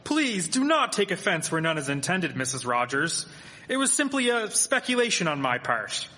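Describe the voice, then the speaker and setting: An actor playing a cheerful, polite 1870s village gentleman in a live radio drama, with formal old-fashioned diction. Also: high-pitched, very animated, normal speed, heavily acted. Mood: earnest. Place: performing arts theatre stage. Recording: echoey hall, live stage performance.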